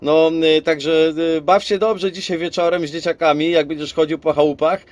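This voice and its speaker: Male radio amateur, higher-pitched, dry sound, loose repetitive chat over the set. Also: steady tone, moderate pace, no room sound. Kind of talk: casual radio contact chat.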